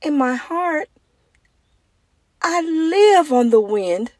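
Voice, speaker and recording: A woman's voice: high voice, sing-song, drawn-out words, darkish tone, no echo, clean studio sound, voice pushed up, heavily acted. A woman voicing an eager, dreamy little male penguin in an earnest, exclamatory storybook manner. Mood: dejected.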